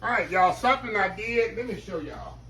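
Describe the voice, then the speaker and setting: A man, chatting to viewers as he cooks in his home kitchen, his voice slightly hoarse. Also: high-pitched, expressive, fairly quick, bright, forceful tone, slight background noise. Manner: chatty, keeps addressing viewers as y'all. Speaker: home cook. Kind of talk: casual cooking demonstration.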